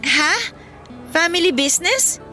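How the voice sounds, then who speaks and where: A woman's voice: very high voice, lively intonation, a little slow, sharp tone, soft background music, heavily acted. A woman playing a playful, defensive teenage schoolgirl in a Filipino radio drama, with a Filipino accent. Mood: flustered.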